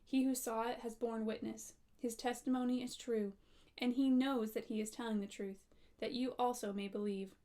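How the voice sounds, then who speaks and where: Female scripture reader, slightly high pitch, measured and deliberate phrasing. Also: steady tone, warm tone.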